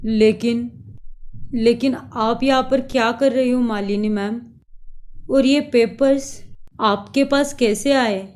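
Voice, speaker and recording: A woman's voice: fairly high pitch, steady tone, takes it slowly, darkish tone. A woman voicing a young husband who has just woken up in hospital and asks confused questions.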